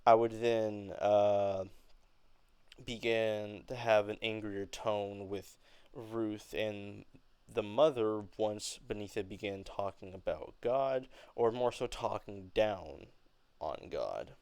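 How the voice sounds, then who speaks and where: A young man with a clear, smooth, mid-pitched voice explains something informally. He speaks haltingly and often rephrases as he goes. The recording is close and dry. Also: a little mellow, dry recording. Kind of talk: informal explanation of an acting reading.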